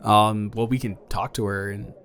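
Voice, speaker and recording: Halting, caring young ex-boyfriend, played by a man. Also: lowish voice, steady tone, fairly bright tone. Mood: gently teasing, caring. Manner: halting, stammering, casual. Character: caring, flustered young ex-boyfriend tending a fighter's scratches. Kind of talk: improvised intimate audio roleplay.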